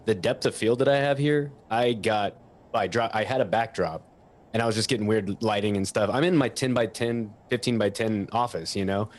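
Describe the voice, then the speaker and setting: Man, lowish voice, casual chat, self-deprecating, rambling delivery, small office. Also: normal speed. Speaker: panelist who teaches about microphones.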